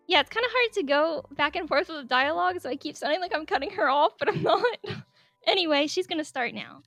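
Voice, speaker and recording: A woman casually narrating her drawing process over a speedpaint, chatty and giggly, rambling with lots of filler words, speaking higher than her usual voice. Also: expressive, brisk, pauses often, no echo. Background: soft background music.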